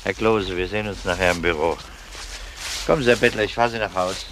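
An actor playing a middle-aged police detective, brisk, terse and matter-of-fact, in a German crime radio play. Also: male voice, sits low, background noise, lightly acted. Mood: businesslike.

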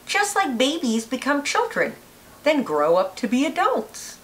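High-pitched woman reading slowly to children. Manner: deliberate, expressive phrasing for children. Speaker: read-aloud narrator, teacher. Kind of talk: children's picture book read-aloud.

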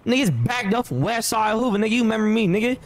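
Fast, high-pitched American man in game chat. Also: expressive. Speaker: player in an online roleplay game. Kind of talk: casual gaming voice chat, street slang. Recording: game voice chat with faint vehicle noise.

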